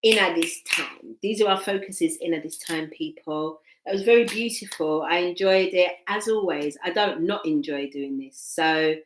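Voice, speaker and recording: A woman's voice: fairly low pitch, normal speed, hardly any pauses, slight background noise. Woman reading tarot in a roomy echo. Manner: animated, veering from excited outbursts to soft murmuring. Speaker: tarot reader. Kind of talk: live-streamed tarot reading. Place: at home. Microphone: laptop microphone. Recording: echoey room, laptop mic.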